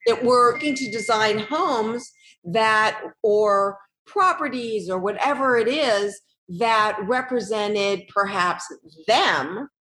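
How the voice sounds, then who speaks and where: A woman's voice: a little high, takes it slowly, fairly bright tone, slight background noise. Affirming woman host in an echoey room. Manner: affirming, thinks aloud with fillers. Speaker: interviewer, host. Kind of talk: conversational interview.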